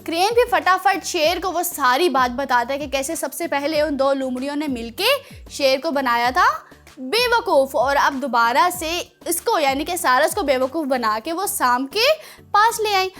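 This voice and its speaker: A woman's voice: high-pitched, animated, normal speed, a bit bright, faint music behind. A woman hosting a children's show, telling stories in a teacherly way with frequent questions to her listeners. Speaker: children's show host and storyteller. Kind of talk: storytelling to children.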